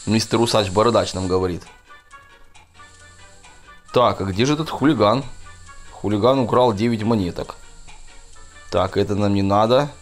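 A man's voice: on the low side, normal speed, pauses often. A man giving casual, chatty gameplay commentary for children, often addressing his viewers directly. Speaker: gaming video host. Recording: game music behind the voice.

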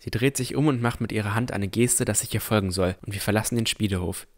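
A man's voice: on the low side, quick pace, close to an everyday voice. A man playing a cautious, earnest boy who narrates his own fantasy adventure in an audio drama. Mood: reflective narration. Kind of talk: first-person scripted narration and dialogue.

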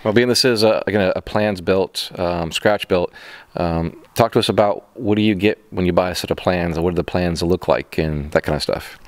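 A man's voice: deep voice, normal speed, dry recording. A middle-aged man hosting an interview in an open hangar, asking long, rambling questions with restarts into a handheld mic. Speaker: channel host interviewer.

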